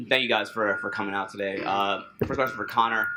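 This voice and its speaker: A man's voice: fairly low pitch, fairly quick, very noisy. A man moderating a press conference, asking the fighters questions.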